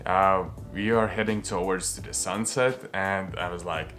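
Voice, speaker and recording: A man's voice: deep voice, steady tone, a little slow, a bit bright, faint music behind. A young man from Latvia, chatting casually and joking through a travel vlog with a few swear words. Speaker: vlogger.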